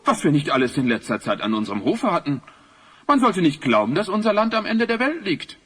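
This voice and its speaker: A man's voice: on the high side, big pitch swings, a little fast, a bit bright, husky, soft background music, heavily acted. A man playing a commanding, thrifty king of an icy realm in a fantasy audio drama, regal and declamatory. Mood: amused boasting.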